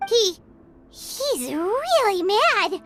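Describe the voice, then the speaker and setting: Tiny game sidekick: extremely high, swooping, excitable little-girl voice over music. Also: extremely slow, a little hiss or hum, exaggerated voice. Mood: awed worry. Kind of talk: anime-style video game voice acting. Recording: game audio with music and crashes.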